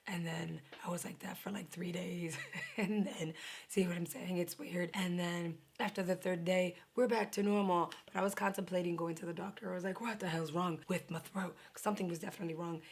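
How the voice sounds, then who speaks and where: Casual beauty vlogger, woman, hoarse and raspy, whispery asides, filmed on a camera mic at home. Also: mid-range voice. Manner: chatty, animated, drops into whispery asides. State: raspy voice from a sore throat. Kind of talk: casual unboxing and makeup chat.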